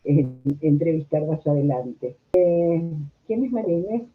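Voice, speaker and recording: A woman's voice: fairly low pitch, expressive, slow. An older woman with a Rioplatense Argentine accent, reminiscing conversationally as she is interviewed. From La Plata, Argentina. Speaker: interviewed social worker. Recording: tinny, narrow phone-like audio.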